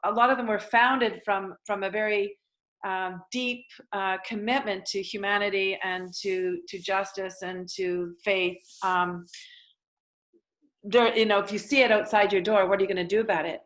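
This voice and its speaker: A woman hosts a discussion over a video call. She feels her way through sentences, pausing and rephrasing as she guides the group. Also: medium pitch, steady tone, fairly bright tone. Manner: hesitant, halting, thinking aloud. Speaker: host moderating an online panel.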